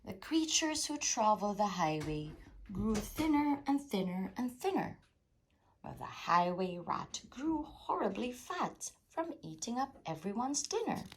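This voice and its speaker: Slow, very expressive woman storyteller. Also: mid-range voice, a little hiss or hum, rough recording. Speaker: storytime reader. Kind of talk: expressive rhyming storybook narration.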